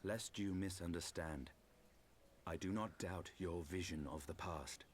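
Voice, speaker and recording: An actor playing a stern, grave wandering knight in a fantasy game, speaking deliberately in formal, archaic language. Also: male voice, deep voice, a little hiss or hum. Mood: grave, firm. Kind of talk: theatrical fantasy game acting.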